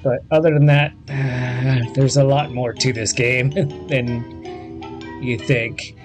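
A man's voice: medium pitch, steady tone, takes it slowly. A man in his thirties giving casual, offhand and rambling commentary as he plays a video game. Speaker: gaming video host. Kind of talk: casual let's play commentary. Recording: faint game music behind. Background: video game audio.

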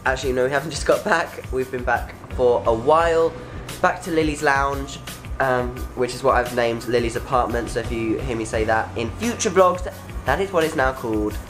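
A young man vlogging his day out in a city, chatty and excitable, filmed on a handheld camera at arm's length. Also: medium pitch, normal speed, over music. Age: young adult. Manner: chatty, excitable, laughs often. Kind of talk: casual travel vlog. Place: city streets, subway and apartment.

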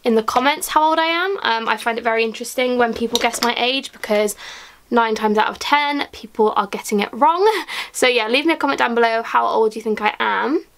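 Young woman, higher voice, rambly makeup vlog chatter in a small room. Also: a little fast, a bit bright. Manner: chatty, rambling, frequent ums and likes. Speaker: beauty vlogger. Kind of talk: casual chat while doing makeup.

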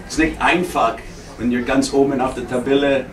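A man's voice: mid-range voice, fairly even intonation, a little fast, a crowd in the background. A man in his forties, a hockey coach recapping a game in simple, non-native phrasing with English words mixed in. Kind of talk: post-game press conference recap. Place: indoor press conference room. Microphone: handheld microphone. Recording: echoey, noisy room, distant sound.

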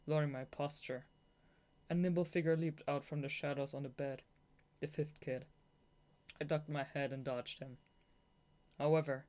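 Audiobook narrator, young adult, native German speaker, medium pitch, amateur novel reading with fannish asides. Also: darkish tone. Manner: giggly, gushing fangirl asides between read passages. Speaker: audiobook reader.

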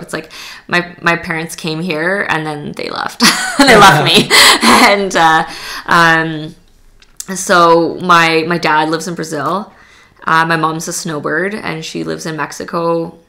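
Low, gravelly woman chatting candidly. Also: takes it slowly, bright, forceful tone. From Poland. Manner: candid, chatty, many fillers and restarts. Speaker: podcast guest. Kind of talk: casual interview chat.

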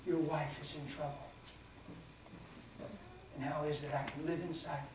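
A grave, quiet voice of God given by a preacher, low and measured, barely above a murmur. Heavy room echo and distance surround it, with ambient noise underneath. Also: male voice, lightly acted. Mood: calm rebuke. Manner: low, hushed, calm. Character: God speaking calmly and gravely. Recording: echoey hall from far off.

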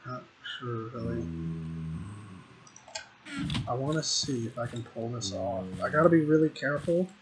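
A man's voice: medium pitch, unhurried, pauses often. A young man giving casual, rambling commentary while playing a game, with frequent ums and restarts. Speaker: gaming video creator. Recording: noisy home recording with mouse clicks.

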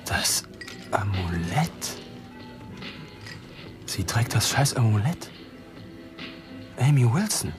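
An actor playing a drunken, desperate, cynical reporter in a German radio drama, rambling and blunt. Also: male voice, fairly low pitch, sing-song, slow, long pauses. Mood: drunk, self-pitying. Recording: studio radio play with loud music behind.

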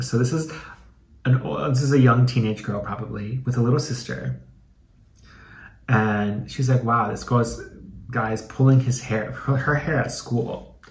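A man hosts a comedic reading in a casual, campy style. His medium-pitched voice is sassy and slangy, with swearing mixed into the commentary. The recording is roomy, with noticeable echo. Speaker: YouTube host.

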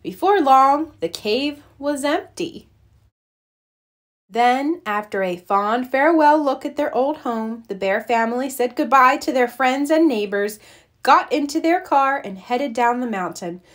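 A woman reading a children's storybook aloud as narrator, expressive and deliberate. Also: sits high.

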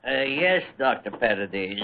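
Man playing polite assistant, lively mid-pitched voice. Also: normal speed, natural voice. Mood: deferential. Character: polite, deferential male laboratory assistant. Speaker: radio actor. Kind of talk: 1940s radio acting. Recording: noisy, muffled vintage radio broadcast.

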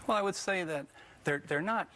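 A middle-aged man guest in a TV studio, speaking in a measured way with pauses and restarts, on a lapel mic. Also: high voice, sharp tone. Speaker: guest, book co-author. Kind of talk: TV studio interview. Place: TV news studio.

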